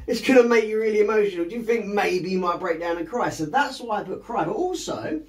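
Man, thirties, high voice, chatty music teacher, rambling, encouraging, distant camera mic. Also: animated, a little fast. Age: 30s. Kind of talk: casual songwriting lesson with children. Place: small home music studio, indoors. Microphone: camera across the room.

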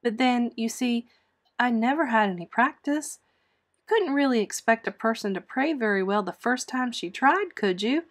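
Lady doing an earnest dreamy little orphan girl for an audiobook, higher voice, very gushy and dramatic. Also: animated, normal speed, fairly bright tone, lightly acted. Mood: apologetic, earnest. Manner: gushing, wordy, dramatic pleading. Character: imploring, excitable, imaginative orphan girl, thin and earnest. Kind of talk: audiobook character voice.

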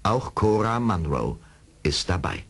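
Male narrator for an adventure radio play, rough-edged lowish voice, swinging intonation, dramatic scripted storytelling. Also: big pitch swings, measured pace.

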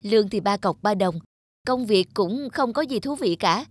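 A woman's voice: mid-range voice, lively intonation, moderate pace, no echo, close to an everyday voice. A woman voicing a bored, discontented young postman who grumbles casually, in a cartoon. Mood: bored, grumbling. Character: bored, discontented postal worker, young man. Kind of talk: cartoon dubbing.

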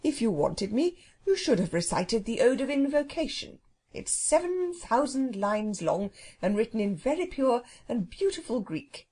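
Woman as a storybook phoenix bird, gentle, vain, polite, formal speech, slightly husky. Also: on the high side, big pitch swings, normal speed. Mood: polite, gently proud. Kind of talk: children's storybook reading.

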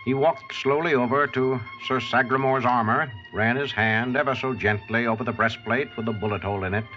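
A man hosting and narrating a 1940s radio theater in a formal, measured, theatrical manner. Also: mid-range voice, fairly even intonation, over music. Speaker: host and narrator. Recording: muffled old radio recording.

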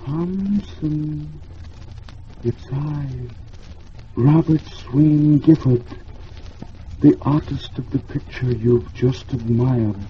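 A commanding ghost from the tomb, very slow and low, with big swings in pitch. The delivery is theatrical and solemn, in the manner of a 1950s radio play, on a dull, noisy old recording. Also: male voice.

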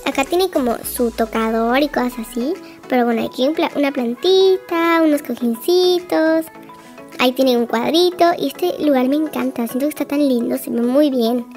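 A woman's voice: high-pitched, moderate pace, a little mellow, soft background music. A woman giving a chatty, gushing voice-over tour of a game house, full of little fillers.

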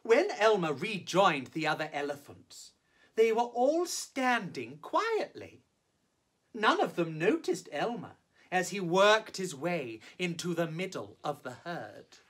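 A man performing as a drag storyteller, reading a children's picture book theatrically with exaggerated emphasis and drawn-out words. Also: sits high, sing-song, fairly bright tone. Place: small indoor set, on camera.

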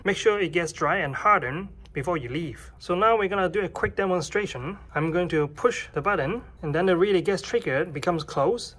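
Higher-pitched, husky man giving a tutorial. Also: fairly bright tone. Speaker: tutorial presenter. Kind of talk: hands-on tech tutorial, casual step-by-step explanation.

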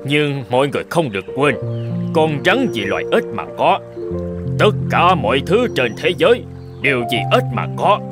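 A man's voice: on the high side, sing-song, moderate pace. A man playing a pompous animal in a cartoon, speaking like an orator. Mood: preachy. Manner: oratorical, declaiming. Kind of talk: cartoon voice acting. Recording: music bed behind the voice.

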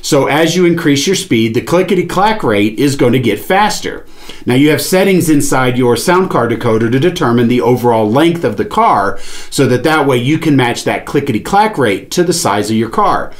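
A man's voice: mid-range voice, animated, normal speed. A man in his forties giving an instructional product demonstration, explaining things step by step. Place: small indoor studio with padded panel wall, seated at a table.

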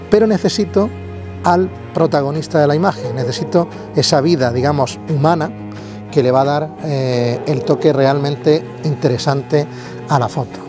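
A man giving a casual photography lesson to viewers while standing on a city street, speaking directly to the camera and talking his way through his ideas. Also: fairly high pitch. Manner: explanatory, addresses viewers directly, frequent "vale" and fillers. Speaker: photography vlogger. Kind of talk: casual instructional vlog on location.

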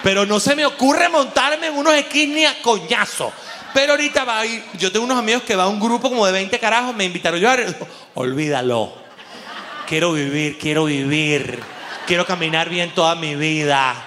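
A male comedian with a Venezuelan accent works a theater audience through a handheld mic. His voice sits high and cuts through brightly. He talks in an animated, slangy way with free cursing, over some background noise. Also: a little fast. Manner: animated, colloquial, cursing freely. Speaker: stand-up comedian and show host. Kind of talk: stand-up comedy with audience banter. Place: on stage in a dark theater with audience. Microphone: handheld microphone. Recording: echoey theater hall, audible background noise.

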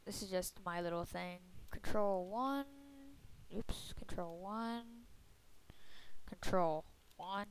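A young woman with a soft voice giving a casual computer tutorial, chatty and full of fillers. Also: medium pitch, takes it slowly, a little hiss or hum. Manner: soft-spoken. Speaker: tutorial maker. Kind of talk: screen-recorded computer tutorial. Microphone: computer microphone.

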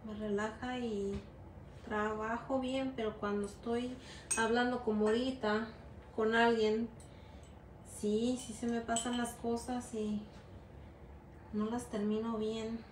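A woman's voice: fairly high pitch, level tone, takes it slowly, pauses often, slight background noise. A middle-aged Mexican-American woman from the United States, teaching a gelatin art class in a rambling, chatty way with many fillers and English words mixed into her Spanish. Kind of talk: casual hands-on class demonstration. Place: indoor work table. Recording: echoey room with faint ambience.

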